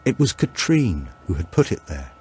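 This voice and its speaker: A man with a low voice narrates a suspense story. His delivery is measured and deliberate, in the manner of a scripted audiobook thriller. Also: very animated, a little mellow, slight background noise.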